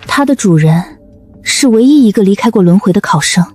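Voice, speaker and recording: A woman playing a composed, persuasive young survivor in an animated drama, explaining things in a measured, earnest way. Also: medium pitch, faint music behind, natural voice. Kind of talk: scripted animated drama acting.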